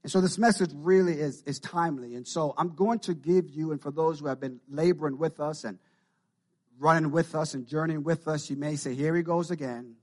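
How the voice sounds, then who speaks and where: A man with a Jamaican Canadian accent delivers a sermon, his voice pitched a little above the usual for men. He builds intensity as he goes, circling back to repeat key phrases for emphasis. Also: brisk, a little mellow. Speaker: pastor.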